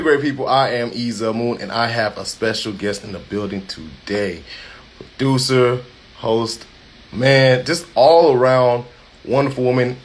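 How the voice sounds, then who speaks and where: A young man hosting a casual interview show. He talks in a laid-back, slangy way, at an everyday middle pitch. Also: a little hiss or hum. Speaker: host.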